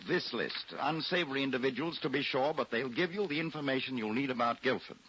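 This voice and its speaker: An actor playing a haughty newspaper drama critic in a 1940s radio play, theatrical, condescending and cutting. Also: male voice, medium pitch, a little fast, a bit bright, a little hiss or hum, natural voice. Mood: haughty, businesslike. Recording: dull lo-fi old radio recording.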